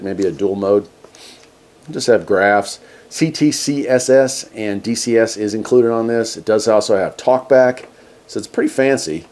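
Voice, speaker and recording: A man's voice: fairly low pitch, a little mellow. A man hosting a casual hands-on product review, talking in a laid-back, folksy way. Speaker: host of a radio gear review channel.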